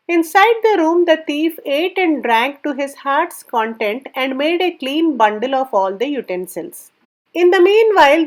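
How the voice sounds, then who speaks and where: High-pitched woman reading a story. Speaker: storyteller, reader. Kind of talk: scripted narration of a children's story.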